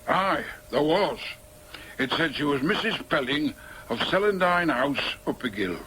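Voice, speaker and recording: High-voiced older Yorkshire working man. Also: animated, measured pace, sharp tone, slight background noise. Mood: thoughtful recalling. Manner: colloquial, reminiscing. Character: older working-class Yorkshireman, chatty, matter-of-fact old storyteller. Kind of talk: radio drama acting.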